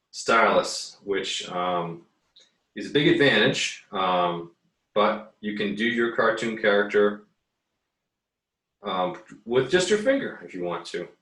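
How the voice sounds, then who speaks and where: Low-voiced man, echoey and distant. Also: a bit bright. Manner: halting, muttering to himself while drawing. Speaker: art teacher. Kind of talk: casual drawing tutorial, thinking aloud. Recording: roomy echo, distant microphone.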